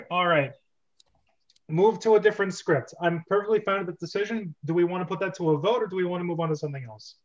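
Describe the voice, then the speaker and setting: A man's voice: sits high, fast. A man hosting a script reading club, chatting casually with the group. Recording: dull, lo-fi online recording.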